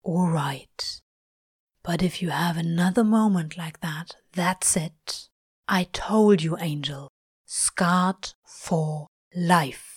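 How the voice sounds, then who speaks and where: A woman voicing a gruff, tender, cynical male demon in a slow, hushed murmur, as in an audiobook. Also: lowish voice, dry recording, clean studio sound. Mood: wry, grudging. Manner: slow, muttering.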